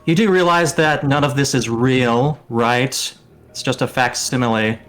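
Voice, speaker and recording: A man as a tabletop villain, smug, sardonic, condescending, unhurried delivery, music behind. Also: medium pitch, a bit bright, close to an everyday voice. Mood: smug disdain. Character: smug, sardonic scheming villain who monologues his plans.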